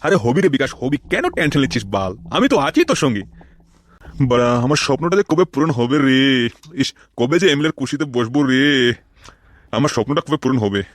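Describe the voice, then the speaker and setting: A man's voice: medium pitch, lively intonation, fairly quick, close to an everyday voice. A man voicing a scheming, coarse, blustering gangster in a comedy cartoon. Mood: boastful, impatient. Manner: blustering, coarse, swearing constantly. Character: scheming, foul-mouthed male gangster and political rival in comedy cartoon. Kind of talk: Bengali comedy cartoon dubbing.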